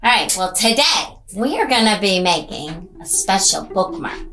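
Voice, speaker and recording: A woman's voice: mid-range voice, lively intonation, moderate pace, fairly bright tone, slight background noise. A woman craft teacher guiding children step by step in simple, encouraging phrases.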